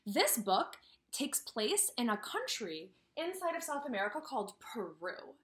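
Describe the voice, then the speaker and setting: A woman teaching kindergarten through a read-aloud lesson, speaking directly to young children and asking them questions in an animated way. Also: fairly high pitch, unhurried.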